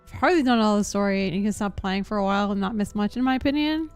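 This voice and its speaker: A woman's voice: medium pitch, quick pace, smooth, faint music behind. A young adult woman chatting casually as she streams a game, rambling in an informal, unsure way. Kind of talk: casual live stream chat.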